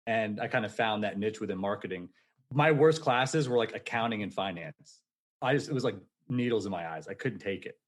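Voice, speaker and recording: Man, 40, podcast guest, lively and casual, quick to laugh. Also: lowish voice. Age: 40s. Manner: energetic, laughs easily, casual. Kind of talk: casual storytelling interview.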